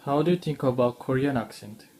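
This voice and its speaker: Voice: medium pitch, fairly quick, warm tone. An interviewer asking short set questions. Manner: brief, repeats set questions.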